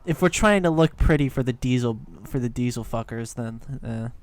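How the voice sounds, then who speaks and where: Male tabletop player, average pitch, casual conversational game chat. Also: moderate pace, a little mellow, clear, resonant tone.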